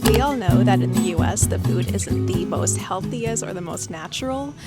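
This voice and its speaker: A woman's voice: medium pitch, expressive, moderate pace. A woman vlogging casually to the camera. She sounds upbeat and chatty and uses a lot of fillers. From United States. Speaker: travel vlogger. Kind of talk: casual vlog talking to camera. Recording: clear background music under the voice. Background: background music.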